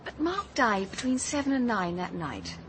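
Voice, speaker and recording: Earnest young detective. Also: female voice, mid-range voice, very animated, moderate pace, background noise. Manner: earnest, thoughtful, literate narration. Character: earnest, observant, determined young female private detective. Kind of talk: radio drama dialogue and first-person narration.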